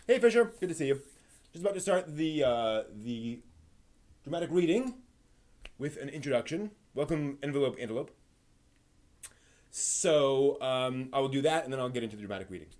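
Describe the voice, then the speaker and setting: A man hosting a live stream in a casual, improvised way, thinking aloud with halting phrasing. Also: medium pitch, animated, darkish tone.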